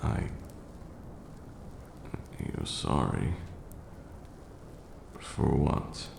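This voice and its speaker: An ancient vampire lord, acted by a man for an ASMR roleplay, brooding and proud yet protective. He speaks formally and very slowly in an extremely low register, with heavy gravel in the throat. Each phrase is measured and dramatic. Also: expressive, a little mellow, roomy sound, a little hiss or hum, full character voice. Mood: stern, softening. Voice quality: very deep, gravelly, breathy at times.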